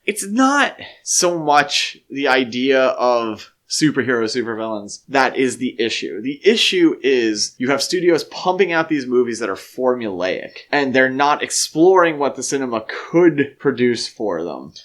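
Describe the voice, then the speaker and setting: A man's voice: medium pitch, big pitch swings, measured pace, hardly any pauses, a bit bright. A man co-hosting a podcast, discussing film casually in an opinionated, analytical way. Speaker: podcast co-host.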